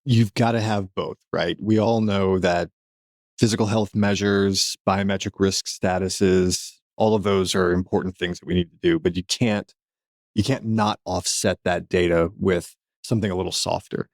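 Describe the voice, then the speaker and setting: A man co-hosts a podcast in a deep, low voice, with dry humor and emphatic repetition. The recording is close and dry. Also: fairly even intonation, moderate pace, no room sound. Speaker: podcast co-host. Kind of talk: casual podcast chat.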